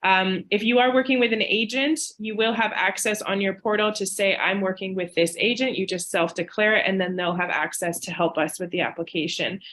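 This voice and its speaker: A woman's voice: mid-range voice, steady tone, a little fast, hardly any pauses, a bit bright. A woman admissions officer losing her voice, giving webinar advice in a chatty, informal way. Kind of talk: webinar presentation. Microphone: video call.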